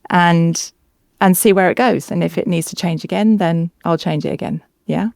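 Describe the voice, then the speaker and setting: Woman, podcast host, chatty, encouraging, gravelly voice, dry sound. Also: on the low side, moderate pace, darkish tone, no room sound. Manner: chatty, encouraging, laughs often. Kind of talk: casual interview chat.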